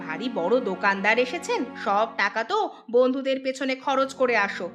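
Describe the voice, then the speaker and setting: A woman's voice: fairly high pitch, big pitch swings, moderate pace. A woman voicing a sarcastic, scolding village shopkeeper's wife in a cartoon story. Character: nagging, sharp-tongued village shopkeeper's wife. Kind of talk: Bengali cartoon story dialogue. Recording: clear music bed behind voice.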